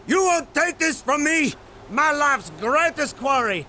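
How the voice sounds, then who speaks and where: An actor playing an obsessive, deranged big game hunter, forceful and melodramatic, in an audio drama. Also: male voice, extremely high pitch, expressive, a little slow, a little hiss or hum, exaggerated voice. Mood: menacing, frenzied.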